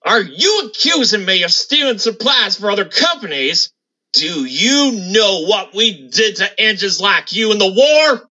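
A man's voice: high-pitched, sing-song, measured pace, full character voice. A man voicing an aggressive, mocking young bully of a steam engine in a cartoon, with dull lo-fi sound. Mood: outraged. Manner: loud, mocking. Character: aggressive, taunting young male war engine, menacing bully. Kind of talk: fan animated game cutscene acting.

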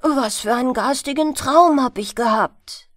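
A woman's voice: high-pitched, unhurried, no echo, clean studio sound. A woman voicing an astonished, puzzled small boy in a storybook. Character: small boy, astonished, just woken from sleep.

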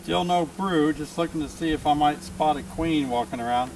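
A man calmly explaining a beehive inspection as he works outdoors in a yard, thinking aloud as he goes. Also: fairly high pitch, normal speed, far from the mic, a little hiss or hum, low-quality recording. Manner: calm, explanatory, thinking aloud while working. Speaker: beekeeper teaching a hive inspection. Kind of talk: outdoor demonstration narration.

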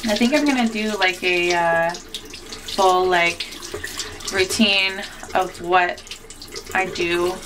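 A woman's voice: lowish voice, slow, pauses often, background noise. A young woman, a new mom, chatting casually to camera at home with lots of fillers. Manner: chatty and self-deprecating, many fillers. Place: home, indoors. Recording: voice a bit far from the mic.

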